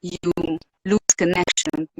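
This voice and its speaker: A woman from Romania with a Hungarian accent, speaking as a guest in an interview, reflective and hesitant, pausing often to find her words. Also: on the low side, little pitch movement, noisy background. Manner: hesitant, searching for words with many pauses and fillers. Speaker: podcast guest.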